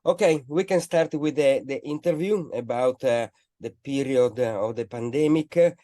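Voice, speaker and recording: A man hosting a radio interview, speaking haltingly with lots of fillers and restarts. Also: medium pitch, warm tone, no room sound. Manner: hesitant, halting phrasing with many fillers. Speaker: radio host.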